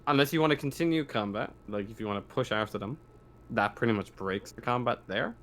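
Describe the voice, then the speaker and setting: A man's voice: medium pitch, fairly quick, a bit bright. A man running a tabletop game as game master, improvising his narration and often stammering or revising his phrases as he goes.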